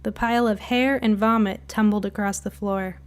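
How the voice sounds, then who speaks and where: A woman reading a scripted spooky short story as narrator, in a dramatic, deliberate way. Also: mid-range voice, fairly even intonation. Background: music bed.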